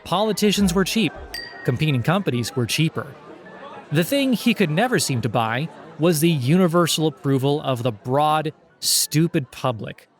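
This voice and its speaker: A man narrating scripted satirical fiction in a sardonic, mock-earnest storytelling manner. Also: a little high.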